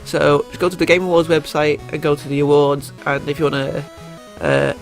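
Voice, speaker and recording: A young man talks through his gaming picks in a gravelly, slightly high voice. He is stuffed up from a cold and rambles, restarting his sentences and filling pauses with "uh". Faint music plays behind him. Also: fairly even intonation. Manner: rambling, many fillers and restarts. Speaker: YouTuber. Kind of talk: casual gaming commentary.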